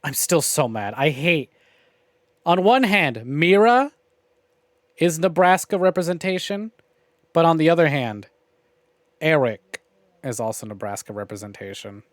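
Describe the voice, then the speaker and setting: A man's voice: fairly high pitch, expressive, unhurried, long pauses, no echo. A man giving casual, opinionated livestream commentary on a visual novel game, with wry, profane grumbling. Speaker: game streamer.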